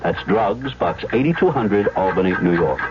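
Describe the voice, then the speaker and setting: A man's voice: lowish voice, expressive, moderate pace, loud music behind the voice. A man reading a scripted public service announcement as a radio announcer. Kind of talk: 1970s radio advertisement, scripted. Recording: muffled old radio broadcast.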